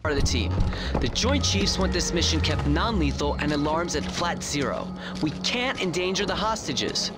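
A man playing an officious agency consultant in a video game, briefing in a formal, crisp manner. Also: on the high side, lively intonation, moderate pace, close to an everyday voice. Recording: loud music behind the voice.

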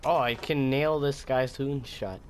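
A man's voice: medium pitch, fairly even intonation, a little slow, a little mellow, soft background music. A man giving casual, sarcastic, muttered commentary as he plays a video game. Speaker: gamer playing a horror game. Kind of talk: casual gameplay chat.

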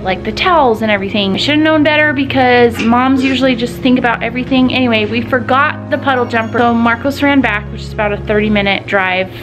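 A woman's voice: on the high side, rough recording. A woman in her thirties, a family vlogger, chatting upbeat to a handheld camera about her day. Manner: chatty and upbeat, talking straight to viewers. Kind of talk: casual vlog chat to camera. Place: home, car and backyard pool. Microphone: handheld camera at arm's length.

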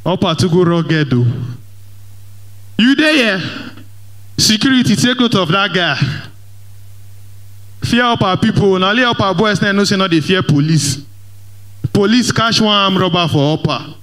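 A young man with a Nigerian Pidgin English accent doing lively stand-up comedy in a large church hall, speaking into a handheld mic and mixing Pidgin with English as he works the crowd. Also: high voice, a little slow, long pauses. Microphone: handheld microphone.

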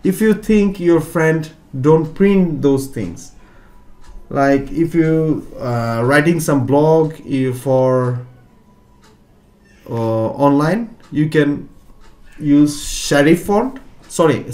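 Tutor, middle-aged man, patient and methodical, a bit high-pitched. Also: lively intonation, slow, a little mellow. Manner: patient, explaining step by step, non-native phrasing. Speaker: computer tutor. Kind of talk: one-on-one software lesson.

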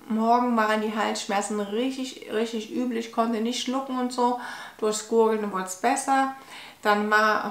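A woman in her forties talking to camera at home in a personal vlog, nasal from a cold, tired and halting with restarts. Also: medium pitch. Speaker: vlogger. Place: home living room, indoors. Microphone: fixed camera at medium distance. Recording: echoey room.

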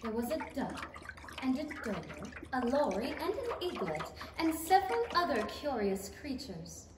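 A young woman giving expressive storybook narration for a 1930s-style radio play. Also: a little high, normal speed. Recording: distant, echoey stage with noise.

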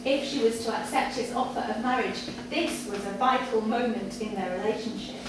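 High-voiced woman reading aloud, echoey and distant. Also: normal speed. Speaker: reader at a live literary event. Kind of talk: live story reading to an audience. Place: indoor cafe bar with a small audience. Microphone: far camera. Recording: echoey noisy room, far from microphone. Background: audience ambience and background noise.

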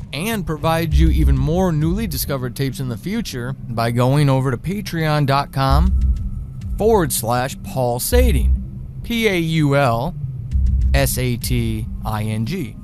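A male podcast host reads credits and listener announcements in a mid-pitched voice. His phrasing is deliberate, and music plays loudly behind him. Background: loud music.

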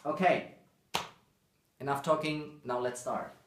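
Male presenter, thirties, for a hands-on how-to; measured, slowish delivery, roomy camera-mic sound. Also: fairly high pitch, slight background noise. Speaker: presenter demonstrating phonograph recording. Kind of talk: informal spoken tutorial demonstration. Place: indoor living room with piano. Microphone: camera microphone a few steps away.

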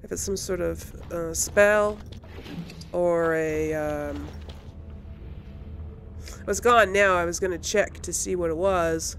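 A woman giving casual let's play commentary on a video game, thinking aloud in an unhurried way. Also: fairly low pitch, a bit bright, slight background noise. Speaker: gamer, series host.

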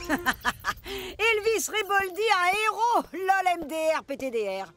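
High-voiced, sneering cartoon villainess. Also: female voice, big pitch swings, slow, over music, heavily acted. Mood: mocking. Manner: mocking, syrupy with pets. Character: sneering, large, villainous woman who dotes on rats.

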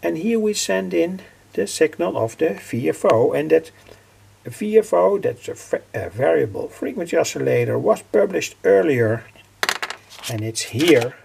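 An older man giving an informal technical explanation as a hobbyist presenter, halting and repeating his phrases. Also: on the high side, a little mellow. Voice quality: gravelly, husky.

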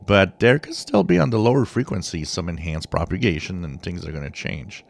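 A man hosting a hobby channel, giving informal explanatory commentary with halting, drawn-out phrasing. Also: sits low, lively intonation, creaky. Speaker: radio hobby channel host. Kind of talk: informal explanatory commentary over a screen share.

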